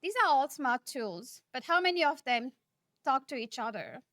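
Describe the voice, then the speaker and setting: High-pitched woman giving a keynote. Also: lively intonation, moderate pace, a bit bright, no echo. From Nepal. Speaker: keynote speaker. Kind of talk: conference keynote speech.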